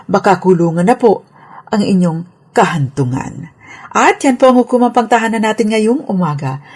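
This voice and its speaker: A woman's voice: medium pitch, a little mellow, husky. A Filipino woman, a lawyer on radio, giving a scripted legal explainer in a formal, polite, explanatory manner.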